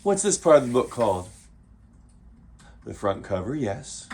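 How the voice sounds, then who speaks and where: A man's voice: medium pitch, big pitch swings, measured pace, darkish tone, a little hiss or hum. A man hosting a read-aloud for children, reading expressively and adding playful, teacherly asides and questions. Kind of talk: storybook read-aloud with asides to young listeners.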